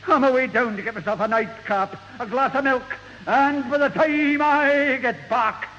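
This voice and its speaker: An actor playing an irascible, threatening uncle with a Scottish accent in a British radio sitcom. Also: male voice, very high-pitched, moderate pace, sharp tone. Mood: exasperated anger. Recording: muffled, hissy old radio recording, reverberant.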